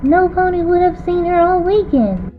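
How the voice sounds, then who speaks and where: A woman's voice: very high-pitched, sing-song, normal speed, over music. A woman voicing a confident, scheming young filly pony in an amateur cartoon fan fiction reading, recorded lo-fi. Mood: assured.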